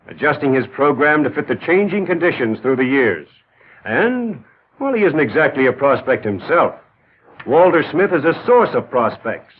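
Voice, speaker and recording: An actor playing a confident, encouraging mentor, coaching a salesman in emphatic, measured phrases in the style of 1940s training film narration. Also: mid-range voice, slight background noise, lightly acted. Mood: reassuring, coaching. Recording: narrow-band 1940s film soundtrack with music.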